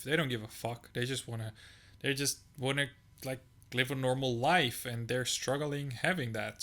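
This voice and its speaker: Bright-voiced young man, laid-back. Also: medium pitch. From Bosnia. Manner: laid-back, swears, laughs along. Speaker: reaction video host. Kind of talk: casual reaction commentary.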